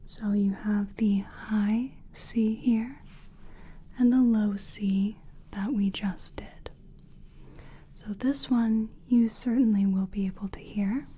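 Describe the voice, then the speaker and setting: Woman, ASMR roleplay, breathy whisper, mellow, unhurried, soothing, gentle practitioner manner. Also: medium pitch, steady tone. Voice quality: breathy, mostly unvoiced whisper. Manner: gentle, soothing, deliberate, reassuring. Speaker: ASMR performer playing a soul-cleansing practitioner.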